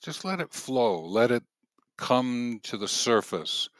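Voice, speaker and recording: A man narrating nature walking activities as a host, gently guiding in measured, deliberate phrases with pauses. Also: mid-range voice, sing-song, dry recording.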